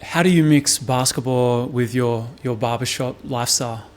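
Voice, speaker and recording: A man's voice: medium pitch, normal speed. A man interviewing a guest with short, direct questions.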